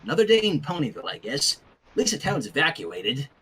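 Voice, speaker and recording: A man puts on a young female unicorn princess from a cartoon fan story. She is chatty and frazzled, pitched high with very animated swings and a slightly husky edge. She sounds apologetic yet nonchalant. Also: fast, exaggerated voice, poor audio. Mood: nonchalant, weary.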